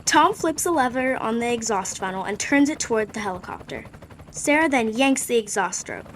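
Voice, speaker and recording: A woman narrating a radio play in a steady, descriptive way. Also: fairly high pitch, normal speed. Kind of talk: scripted narration.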